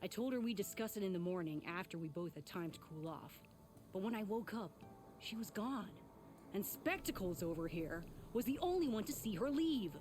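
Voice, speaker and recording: A woman's voice: medium pitch, animated, moderate pace, darkish tone, natural voice. Woman playing earnest, worried tinkerer, faint music behind. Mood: anxious, pleading. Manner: earnest, conversational, wry. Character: worried, guilt-ridden female tinkerer searching for her sister. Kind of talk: video game character acting.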